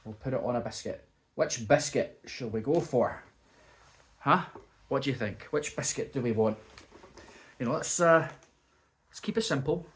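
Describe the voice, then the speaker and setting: A man's voice: medium pitch, normal speed, pauses often. A man chatting casually as he taste-tests cheese and crackers at home, still sniffly and coughing from a bug. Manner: chatty, muses aloud while tasting. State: lingering cough, a bit sniffly. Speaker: food reviewer. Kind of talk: casual food review vlog. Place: indoors at home.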